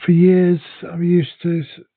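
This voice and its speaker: A man who speaks English and Welsh, talking in a hesitant, halting way with many restarts in a casual conversation. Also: high voice, completely flat delivery, dry recording. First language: English and Welsh bilingual. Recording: dry, phone-like narrow sound.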